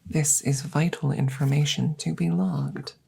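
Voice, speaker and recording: Woman as a slow, whispery alien scientist. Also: low voice, warm tone, dry recording, full character voice. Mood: clinical, soothing. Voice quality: breathy, whispery, husky. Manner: slow, formal, precise, detached phrasing. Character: curious, clinical alien scientist tending a rescued human. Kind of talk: whispered ASMR audio roleplay. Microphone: close microphone, ASMR style.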